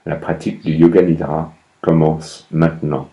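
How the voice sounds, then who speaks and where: A man guiding a meditation as a yoga nidra instructor, speaking calmly in measured instructions with long pauses between phrases. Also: extremely low pitch, low-quality recording. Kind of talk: guided meditation, slow instructions.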